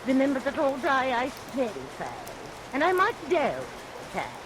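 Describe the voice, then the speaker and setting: An actress playing a comfortable, coquettish widow who runs a pie shop, fussing and gushing in vintage radio melodrama. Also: female voice, high-pitched, big pitch swings, a little slow. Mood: gushing, coy. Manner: gushing, solicitous. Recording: hissy, noisy vintage radio recording.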